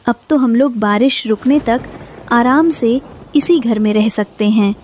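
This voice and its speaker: A woman voicing a gentle, worried mother bird in a cartoon, speaking politely and earnestly. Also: fairly high pitch, unhurried, slight background noise, close to an everyday voice. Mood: reassuring. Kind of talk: Hindi cartoon dubbing.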